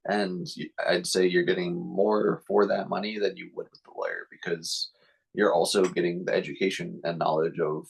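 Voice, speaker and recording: A man's voice: low voice, flat delivery, moderate pace, gravelly, husky, poor audio. A man from Vermont talking casually as an interview guest over a video call, often searching for his words.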